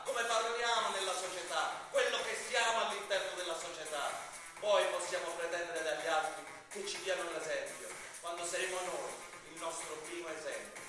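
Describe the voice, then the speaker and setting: A man's voice: high-pitched, lightly acted. An actor performing an indignant, colloquial theatrical monologue as a man ranting bitterly against injustice. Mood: earnest, exhorting. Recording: very echoey, distant stage recording with music.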